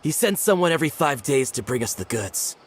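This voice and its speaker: An actor playing a jumpy small-time crook in a video game, informal and expressive. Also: male voice, mid-range voice, a little fast, slight background noise, close to an everyday voice. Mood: nervous.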